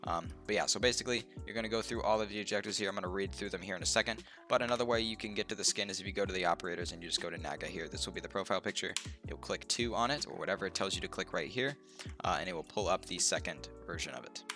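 A man's voice: deep voice, very fast, faint music behind. Stuffed-up young man explaining a game. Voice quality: nasal. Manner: relaxed, rambling, lots of ums and uhs. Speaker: gaming youtuber. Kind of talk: casual game walkthrough. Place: home room, indoors.

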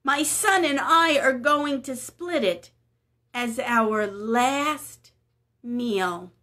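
A woman voicing a kind, sad, poor widow and mother, speaking softly and sorrowfully in a storybook reading. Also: high-pitched, expressive, drawn-out words, heavily acted.

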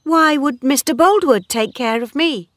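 A woman's voice: high-pitched, measured pace, no room sound, clean studio sound, pitched above the performer's own voice. A woman voicing a proud, headstrong, lovestruck young mistress of a farm, emotional and insistent, as storybook dialogue. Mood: indignant.

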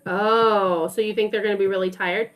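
A woman's voice: mid-range voice, fairly even intonation, moderate pace. A woman teacher reading a storybook to young children and asking them questions in an encouraging, teacherly way. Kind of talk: storybook read-aloud with questions to a group of children.

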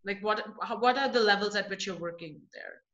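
A young woman interviewer asking searching, halting questions full of fillers, heard over a video call. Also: mid-range voice, sharp tone, roomy sound. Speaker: interviewer, poet. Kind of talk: casual interview. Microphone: laptop webcam on video call. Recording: dull lo-fi video call audio.

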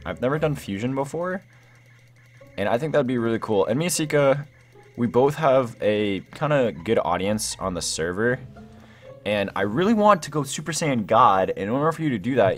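A young man giving excited, casual gameplay commentary, rambling and thinking aloud in slangy exclamations. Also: mid-range voice. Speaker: gaming video host.

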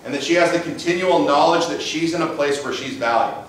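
A man's voice: fairly high pitch, fairly quick, slight background noise. A middle-aged man with a big voice, preaching a conversational sermon in a church sanctuary and asking rhetorical questions, recorded by a camera at a distance. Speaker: pastor. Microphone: far camera, room mic. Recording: echoey church sanctuary, mic from afar.

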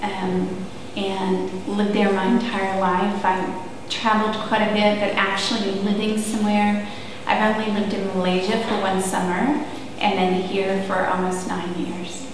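A woman's voice: mid-range voice, flat delivery, measured pace. A woman, answering earnestly in an interview. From Southern United States. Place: room indoors. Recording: echoey room, distant mic, hiss.

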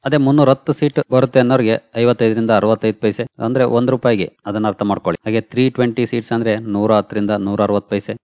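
A man's voice: mid-range voice, darkish tone, no room sound, clean studio sound. A man hosting a stock market channel, walking viewers through a news article shown on screen in a plain explaining manner. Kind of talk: screen-share explainer talk.

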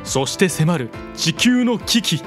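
A man's voice: high voice, very animated, unhurried. A man reading scripted, dramatic narration for a game trailer. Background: music.

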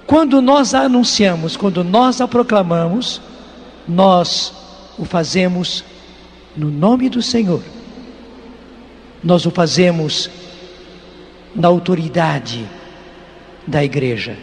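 High-voiced Brazilian preacher in reverberant hall. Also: male voice, expressive. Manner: deliberate, repeats phrases. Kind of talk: preaching and testimony to listeners. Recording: reverberant hall with background noise.

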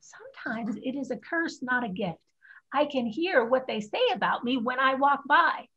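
A woman's voice: high voice, lively intonation, moderate pace, pushed and bright, breathy edge, exaggerated voice. A woman reading the part of a proud, blunt fifteen-year-old Chinese girl in a staged play reading over a video call. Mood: proud, bitter. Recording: muffled video-call audio.

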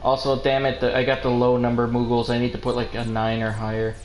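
A man's voice: medium pitch, monotone, fast talker. A man casually chatting as he streams a video game, swearing freely. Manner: casually profane. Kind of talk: casual gaming chat with co-host. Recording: roomy echo, music behind. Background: video game music.

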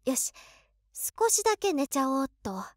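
An actress playing an overworked, excitable office woman in her twenties who is a devoted fan, musing aloud expressively in a voice drama. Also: high voice, moderate pace, no echo, studio quality. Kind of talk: Japanese situation voice drama monologue.

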